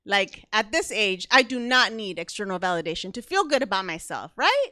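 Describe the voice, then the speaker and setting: A woman's voice: mid-range voice, very animated, moderate pace, pushed and bright, no echo, clean studio sound. A young woman from Mexico with a Mexican accent doing stand-up comedy, chatty and self-mocking, laughing often mid-sentence.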